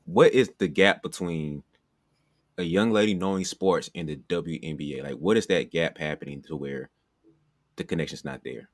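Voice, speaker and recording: Man, podcast host; very deep voice, dry sound, casual rambling questioner. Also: moderate pace, no room sound. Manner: rambling, frequent "like". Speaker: podcast host, basketball trainer.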